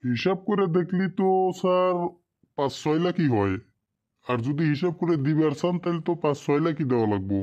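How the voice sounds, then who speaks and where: A man's voice: on the high side, lively intonation, a little fast, a little mellow, muffled recording, lightly acted. A man voicing a greedy, fawning office henchman in a cartoon drama, sly and deferential, in a rural Bengali dialect. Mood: matter-of-fact, deferential. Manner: deferential, wheedling, conspiratorial. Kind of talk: amateur cartoon drama dialogue.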